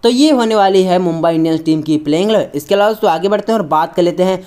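Fast-talking woman presenting a cricket preview. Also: lowish voice, darkish tone. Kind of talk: scripted sports preview narration for online video.

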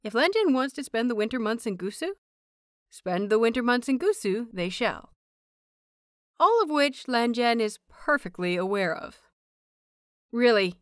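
A woman hosting and narrating audio fiction in an expressive audiobook style. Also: mid-range voice, no echo, studio quality. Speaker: host and narrator of an audio fanfiction show.

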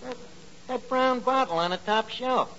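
Bright, pompous tavern manager. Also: male voice, normal speed. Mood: blustering. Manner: mangled highfalutin phrasing, pompous. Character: pretentious, dim, lovestruck tavern manager. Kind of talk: 1940s radio comedy acting. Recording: muffled 1940s radio recording with hiss.

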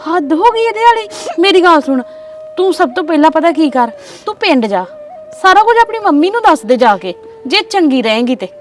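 A caring older sister in a family drama, soothing and firm by turns, speaking, played by a woman. Her voice is high and heard from a distance, with faint music behind. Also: big pitch swings, fairly quick. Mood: urgent. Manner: soothing, pleading, then firm advice. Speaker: actor. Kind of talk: scripted drama acting.

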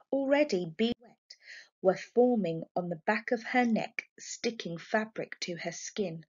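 Woman reading a kids book out loud, expressive teacher style, normal pitch, bit muffly lo-fi. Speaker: primary school teacher reading a children's book aloud. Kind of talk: expressive storybook narration. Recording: dull, lo-fi home recording.

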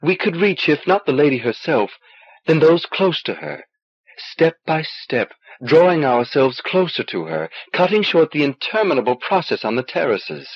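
An earnest, stubborn young man on a journey as a male narrator plays him, questioning and insistent. The voice is husky with lively pitch movement, in an audiobook from a muffled old cassette. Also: normal speed, no echo. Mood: determined. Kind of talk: audiobook character dialogue. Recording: dull old cassette transfer.

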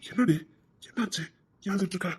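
A performer playing a possessive but tender young man in an audio romance drama, speaking softly and coaxingly. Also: mid-range voice, fairly quick, breathy edge. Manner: soft, hushed, coaxing.

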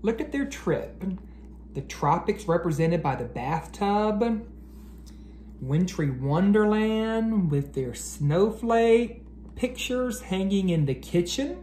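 An American man in his thirties, an educator doing an expressive, carefully enunciated read-aloud for children. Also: high voice, slow pace. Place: living room at home, indoors. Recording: roomy echo, clear background noise.

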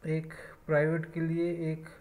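A man giving a step-by-step technical explanation as an electronics instructor, speaking in short, measured phrases. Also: on the high side, monotone, a little mellow.

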